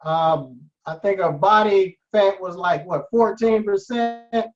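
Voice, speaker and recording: A man's voice: sits high, takes it slowly. An interview host, who is probably a man, opening the show with a scripted intro that turns loose and filler-heavy. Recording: distant, dull lo-fi sound.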